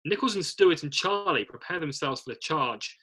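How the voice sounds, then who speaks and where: A man's voice: a little high, lively intonation, brisk, pushed and bright. A man narrating, reading screenplay stage directions aloud in an amateur read-through.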